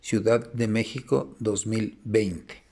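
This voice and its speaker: A man's voice: fairly low pitch, steady tone, slow, gravelly, darkish tone. A man with a Mexican accent reading a scripted public notice as an announcer.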